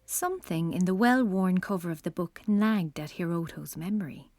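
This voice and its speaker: A woman's voice: lowish voice, animated, normal speed, a little mellow. A woman narrating a children's story as scripted narration. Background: music.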